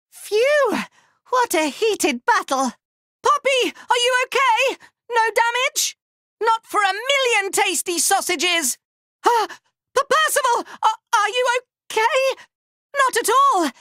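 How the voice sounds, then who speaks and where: A man voicing a small, excitable, proud furry inventor creature for a video game, squeaky and cartoonish, talking in childlike broken grammar and in the third person. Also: slow, no room sound, clean studio sound, heavily acted. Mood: excitable, eager. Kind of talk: video game character voice lines.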